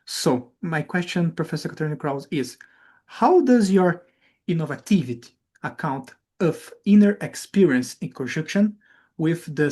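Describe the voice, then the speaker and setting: A man from Brazil, a native Portuguese speaker with a Brazilian accent, hosting an interview and reading prepared questions in halting non-native English. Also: fairly high pitch, darkish tone. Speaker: interviewer, host.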